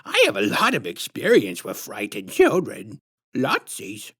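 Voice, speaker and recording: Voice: mid-range voice, wildly swooping pitch, unhurried, breathy edge, full character voice. A narrator voicing a huge, sinister female clown who talks in sickly sweet baby-talk rhymes. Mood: sickly sweet menace. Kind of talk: storybook reading.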